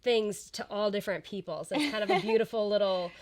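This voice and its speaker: A woman's voice: mid-range voice, fast. A young woman hosting a podcast, chatting casually in a rambling, easygoing way and laughing easily. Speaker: podcast host and comedian. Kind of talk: casual chat.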